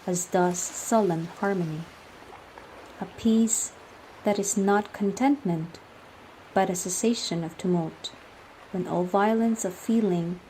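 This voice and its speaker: A young woman with a Filipino accent reading literary narration for a radio drama in a measured, solemn storytelling manner. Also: lowish voice, warm tone, a little hiss or hum, rough recording. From Philippines. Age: young adult.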